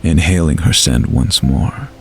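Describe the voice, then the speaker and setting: A man's voice: very deep voice, unhurried, slight background noise. A man narrating a scripted fantasy audio story.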